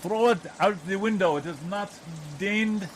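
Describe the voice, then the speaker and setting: A man's voice: high-pitched, measured pace, a little hiss or hum. A man playing a rude French mover with a French accent, contemptuous and full of florid insults in improvised audio comedy. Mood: imperious.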